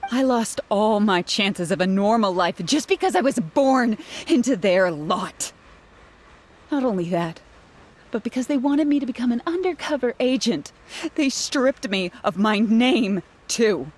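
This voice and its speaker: A woman's voice: a little high, animated, measured pace. A woman voicing a bitter, cornered female villain and turncoat guard in a video game, with a scornful, theatrical, volatile delivery. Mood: bitter, anguished. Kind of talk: dramatic video game voice acting.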